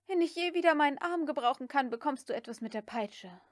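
A woman's voice: sits high, lively intonation, fairly quick, dry recording, studio quality, voice pushed up. A woman voicing an exhausted, harsh young mistress in an audiobook, giving curt commands and scolding. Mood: weary contempt. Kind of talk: audiobook character acting.

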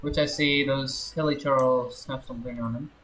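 A man's voice: mid-range voice, fairly even intonation, normal speed, fairly bright tone. A young man streaming a game with casual, laid-back and slightly hesitant chat. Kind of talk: casual livestream gameplay chat. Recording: roomy echo, game music behind. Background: game music.

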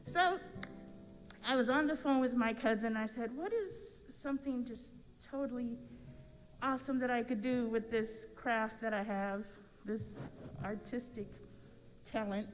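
High-voiced American woman in a reverberant church. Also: fairly even intonation, unhurried, pauses often. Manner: casual, anecdotal. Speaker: artist and church member. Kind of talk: informal testimony to a congregation. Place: large church sanctuary. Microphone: stand microphone. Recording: long hall reverb, faint music.

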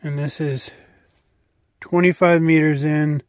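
A man with a slightly high voice gives a calm, matter-of-fact field commentary outdoors, listing minerals in each rock he shows. The sound is dry and close, as if from a handheld camera. He talks in a plain, casual tone. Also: slow, no room sound. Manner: matter-of-fact, names minerals while showing rock samples. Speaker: mineral exploration vlogger. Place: outdoors at a snowy abandoned mine site.

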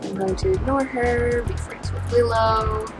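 Young woman presenting over clear background music. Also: fairly high pitch, unhurried. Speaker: tutorial host, game developer. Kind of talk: casual screen-recorded software tutorial with read-out dialogue. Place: small home room, indoors.

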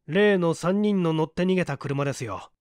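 A man's voice: a little high, moderate pace, darkish tone, no echo, clean studio sound, voice pushed up, lightly acted. A man playing a courteous, matter-of-fact male police detective chief in an audiobook mystery. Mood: businesslike.

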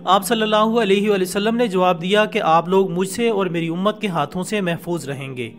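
A male narrator delivers a scripted documentary in a high-pitched voice. His telling is formal and measured, like a prepared story read out. Soft music plays underneath the narration. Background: background music.

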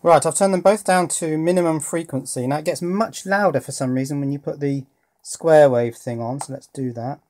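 A man's voice: fairly high pitch, normal speed, a little mellow, a little hiss or hum. A man giving a casual hands-on electronics demonstration, thinking aloud a little hesitantly as he goes. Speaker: electronics hobbyist presenter. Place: indoors at a workbench.

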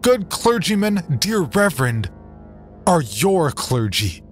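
A man's voice: a little high, big pitch swings, slow pace, soft background music, pitched above the performer's own voice. A man voicing a pious, half-blind settler who earnestly pleads for peace. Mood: pleading.

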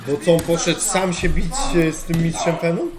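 A man's voice: fairly high pitch, steady tone, moderate pace, a crowd in the background. A man in his thirties giving casual, blunt commentary on a live stream, musing aloud and swearing freely. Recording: stream audio with music underneath. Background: music.

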